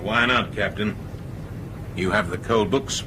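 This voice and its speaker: A man's voice: fairly low pitch, a little slow, background noise, close to an everyday voice. An actor playing a hard, confrontational man who challenges his captain insistently in a radio drama. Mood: accusing. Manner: combative, insistent.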